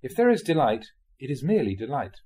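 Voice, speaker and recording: A man reading a spiritual talk aloud in a measured, explanatory way, often posing rhetorical questions. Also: mid-range voice, big pitch swings, warm tone, slightly rough. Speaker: monk reading dharma talks aloud.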